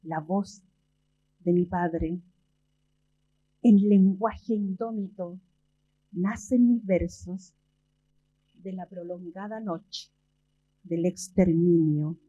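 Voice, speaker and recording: A woman's voice, very dark and soft-edged, a little husky, reads her poems slowly and deliberately with long pauses between phrases. The recording is muffled and lo-fi, like a small library event taped from the room. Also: on the low side. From Chile. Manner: slow, measured recital with long pauses. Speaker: poet. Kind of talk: slow poetry reading and talk.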